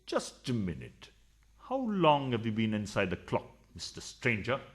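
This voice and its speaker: An actor playing a suspicious, stingy, blustering adulterous husband in a farcical radio play, always accusing. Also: male voice, on the low side, huge pitch swings, measured pace, husky, full character voice.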